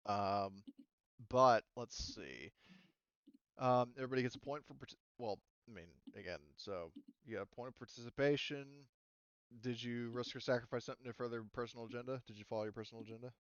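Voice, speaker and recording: A man with a smooth, resonant voice runs a tabletop roleplaying game. He talks casually as game master. The sound is dry and a little muffled. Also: mid-range voice, a little fast, no echo. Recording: slightly dull, dry close microphone.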